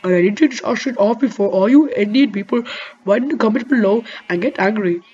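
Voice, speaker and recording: A woman giving rambling, excitable let's-play commentary over her gameplay, talking straight to her viewers. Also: mid-range voice, quick pace, slightly rough. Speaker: gaming commentator. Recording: dull lo-fi mic with faint music.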